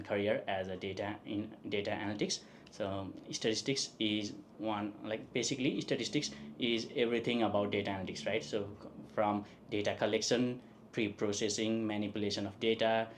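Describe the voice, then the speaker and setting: A man from Nepal whose first language is Nepali, a statistics professor speaking as a podcast guest in a casual, halting way, with many fillers and tag questions. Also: on the low side, slight background noise. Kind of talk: casual interview.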